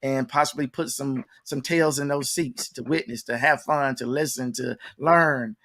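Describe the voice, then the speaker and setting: A man's voice: mid-range voice, steady tone, moderate pace, fairly bright tone. A man hosting a casual conversational interview, often repeating himself and speaking straight to the audience. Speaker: interview show host.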